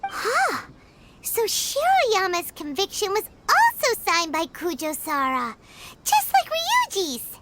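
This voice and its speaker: A woman voicing a tiny, chirpy and nosy female fairy companion in a video game, excitable and gasping as she talks. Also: very high-pitched, takes it slowly, very noisy, full character voice. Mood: curious. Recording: game audio with loud music underneath. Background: game music.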